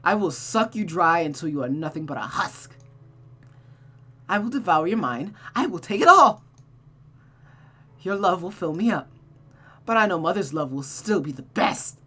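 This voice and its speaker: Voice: medium pitch, lively intonation, normal speed, long pauses, bright, forceful tone, breathy edge, pitched above the performer's own voice. A performer voicing a vengeful, unhinged young woman devoted to her mother, in intense emotional outbursts for a visual novel. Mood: menacing, hungry.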